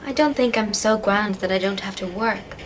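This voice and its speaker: An actress playing a mild, dutiful, overworked young stepdaughter in a film drama, polite and soft-spoken. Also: female voice, mid-range voice, normal speed, background noise, close to an everyday voice. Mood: quietly aggrieved. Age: young adult.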